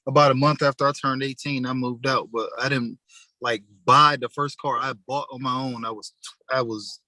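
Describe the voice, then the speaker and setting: A man's voice: medium pitch, fairly even intonation, moderate pace, pushed and bright, no echo. A young man talking casually on a podcast, telling laid-back stories with plenty of slang. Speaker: podcast co-host. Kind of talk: casual chat.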